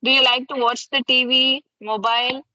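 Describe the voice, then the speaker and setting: A woman's voice: high voice, flat delivery, moderate pace, sharp tone. Indian English woman in her 30s, patient question-asker, dull call audio. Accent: Indian English, Kannada. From Karnataka, India. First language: Kannada. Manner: patient, asks many simple questions. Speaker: English conversation host. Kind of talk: casual conversation practice.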